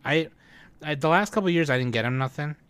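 A man's voice: on the high side, fast talker, fairly bright tone, clean tone, no echo. A man co-hosting a podcast, chatting casually and rambling with lots of fillers and restarts. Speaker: podcast co-host. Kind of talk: casual chat.

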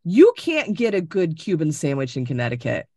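A woman's voice: deep voice, moderate pace, slightly rough, no room sound. Woman from Florida, podcast co-host, emphatic and profane, dry close sound on a video call. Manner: animated, emphatic, swears freely. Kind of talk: casual chat over video call.

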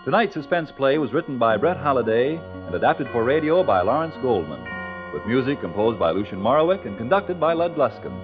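A man's voice: medium pitch, very animated, fairly quick. An American man, a radio announcer, delivering polished scripted sales copy and credits in 1940s style. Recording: muffled 1940s radio, music behind.

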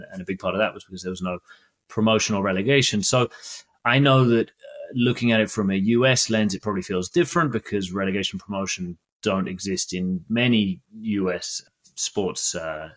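A man's voice: fairly low pitch, fairly bright tone. Man hosting a podcast, long questions. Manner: conversational, builds up long questions. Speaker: podcast host. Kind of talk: interview questions.

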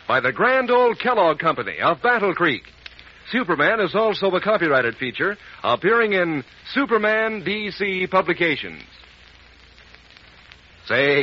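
A man as a commercial announcer giving a folksy, enthusiastic 1940s radio pitch to children. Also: slightly rough. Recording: dull vintage radio recording.

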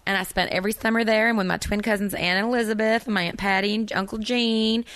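A woman from Maryland with a low voice, an actress chatting in an interview and rambling with many fillers and restarts. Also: steady tone, fast talker, fairly bright tone, no echo. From Maryland, USA. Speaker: actress, guest. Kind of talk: casual interview chat.

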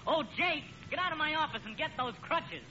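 An actor playing a brisk, bossy but concerned school sports coach in a 1940s radio comedy. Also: male voice, extremely high pitch, expressive. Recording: muffled, noisy old radio recording.

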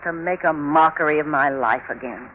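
A woman playing a long-suffering, scolding wife in a comic radio drama, curt and exasperated but with a soft heart. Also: sits low, moderate pace, natural voice. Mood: bitter, exasperated. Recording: dull, faintly noisy old radio recording.

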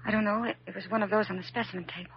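A female actor playing a young, composed hospital nurse held hostage in a classic radio drama, with a breathy voice. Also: medium pitch, steady tone, very fast. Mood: composed, tense. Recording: dull vintage radio recording.

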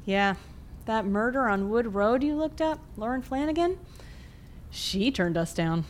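Actress as a teasing, animated young woman. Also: fairly high pitch, a little slow, slight background noise. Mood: smug, casual threat. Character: mean-teasing young woman posing as a casual killer. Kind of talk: audio drama acting.

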